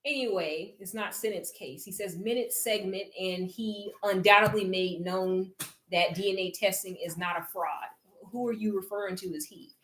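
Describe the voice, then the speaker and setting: Woman, casual commentary, reads passages aloud, critiques them, mid pitch. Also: steady tone, normal speed, fairly bright tone, low-quality recording. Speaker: co-host reading a book aloud.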